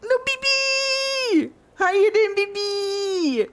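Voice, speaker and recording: A woman's voice: extremely high pitch, lively intonation, very slow, a bit bright. A young woman from Glasgow chatting casually on a gaming stream, swearing freely and laughing often as she rambles. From Glasgow, Scotland. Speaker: game streamer. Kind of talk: casual livestream chat while gaming.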